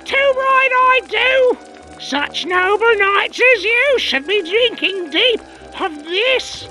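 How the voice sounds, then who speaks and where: Very high, slow old crone. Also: female voice, animated, pushed and bright, faint music behind, exaggerated voice, poor audio. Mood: eager, hawking. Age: older. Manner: folksy, theatrical old-timey sales patter. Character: withered old crone hawking a magic elixir. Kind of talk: parody 1940s radio commercial acting.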